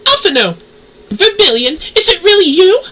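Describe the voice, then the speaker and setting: A woman's voice: very high-pitched, very animated, normal speed, sharp tone, recorded from a distance, exaggerated voice. A woman playing a tiny, sweet, emotional young woman in a fantasy game, girlish and earnest. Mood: joyful surprise. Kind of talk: scripted fantasy game dialogue.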